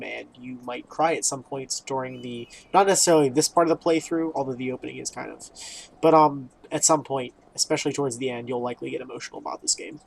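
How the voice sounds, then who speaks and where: Higher-voiced man doing casual game commentary. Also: vocal fry. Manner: informal, rambling, wry asides. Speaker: video game playthrough commentator. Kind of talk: casual gameplay commentary, reading on-screen dialogue aloud. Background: game music.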